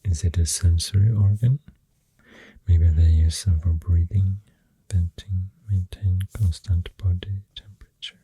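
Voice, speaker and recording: A soft-spoken ASMR host with a Swedish accent, rambling gently and hesitantly in a hushed, whispery, breathy voice close to the microphone. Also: low-pitched, steady tone, a little slow, no room sound. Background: pencil writing and clicking sounds.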